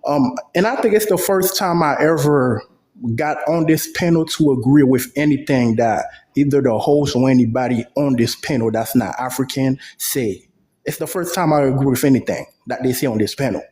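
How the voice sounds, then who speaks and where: A man's voice: mid-range voice, moderate pace, darkish tone. A man from Haiti on a panel call, making long earnest points in a debate. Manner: earnest, speaks at length in long run-on points. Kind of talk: impassioned debate. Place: at work. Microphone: headphones on a phone call.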